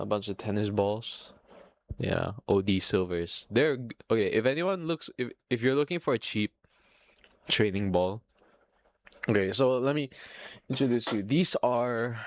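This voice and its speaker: A young man from Singapore with a Filipino accent, rambling casually and swearing freely as the host of a solo podcast. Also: lowish voice. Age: young adult. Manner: profane, rambling, self-mocking.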